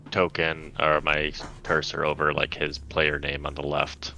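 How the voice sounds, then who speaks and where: Very deep-voiced man, bright forceful tone. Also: monotone, unhurried, creaky. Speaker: tabletop game player. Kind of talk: casual chat. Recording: dull lo-fi sound, faint noise.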